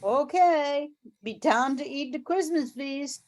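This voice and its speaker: A motherly Cajun cook of the bayou, played by a woman in a radio play. She talks slowly in a fairly high voice, full of hospitality. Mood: hospitable. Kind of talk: amateur radio play acting.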